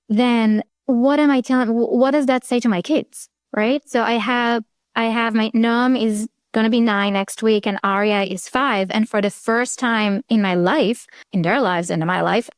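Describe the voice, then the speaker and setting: A woman's voice: fairly high pitch, dry recording, studio quality. A woman psychologist from Israel with an Israeli accent, speaking in an earnest way and searching for words. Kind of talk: conversational interview.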